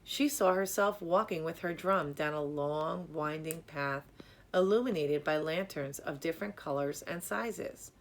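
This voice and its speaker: A woman reading a children's story aloud in a measured, expressive storytelling manner. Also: low voice. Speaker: storybook reader. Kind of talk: scripted narration, children's story read aloud.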